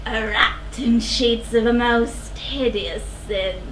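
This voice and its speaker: An actress playing a blind old prophet in a stage verse drama, declamatory, foreboding and taunting. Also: female voice, fairly high pitch, takes it slowly, a bit bright. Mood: accusing. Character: blind old female seer, ominous and defiant. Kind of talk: theatrical verse stage acting. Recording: echoey theatre, distant mic, faint noise.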